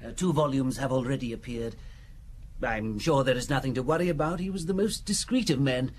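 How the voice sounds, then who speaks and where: An actor playing a fussy, discreet Foreign Office official in a radio drama, formal, hesitant and officious. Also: male voice, medium pitch, a little fast, lightly acted. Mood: fussy, evasive. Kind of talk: British radio drama acting. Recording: hissy old radio broadcast.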